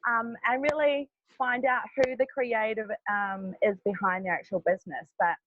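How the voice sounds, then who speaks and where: A woman's voice: a little high, fairly even intonation, moderate pace, narrow, tinny audio. A woman hosting a casual interview, friendly and a little hesitant, with many "um"s. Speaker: interviewer, host.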